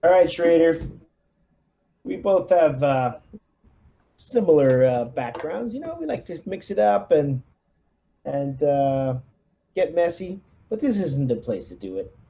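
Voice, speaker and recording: Man playing a gruff, swaggering marine sergeant. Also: fairly high pitch, very animated, unhurried, long pauses, warm tone, a little hiss or hum, heavily acted. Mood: tough, threatening.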